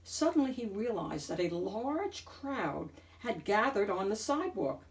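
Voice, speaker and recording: A woman narrating a children's picture book in an expressive read-aloud style, with deliberate, dramatic emphasis. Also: medium pitch, far from the mic. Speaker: storyteller, picture book reader.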